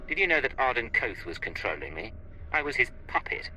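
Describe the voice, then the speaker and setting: An actor playing a cool, confrontational Imperial secret agent in a video game, speaking in terse, probing lines. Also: male voice, mid-range voice, sing-song, brisk, pushed and bright, soft background music, low-quality recording. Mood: bitter, accusing. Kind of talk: video game cutscene acting.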